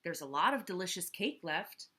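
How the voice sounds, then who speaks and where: A woman voicing a motherly female elephant who calmly breaks up a quarrel. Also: on the low side, normal speed, slightly rough, heavily acted. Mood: coaxing. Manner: soothing, coaxing.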